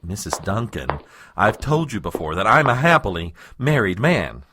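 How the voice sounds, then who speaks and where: A man's voice: on the low side, sing-song, normal speed, noisy background. An actor playing a self-important, married political aide in an audio comedy, nervously stammering and stiffly formal as he fends off trouble. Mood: flustered, evasive. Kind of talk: comedic audio drama acting.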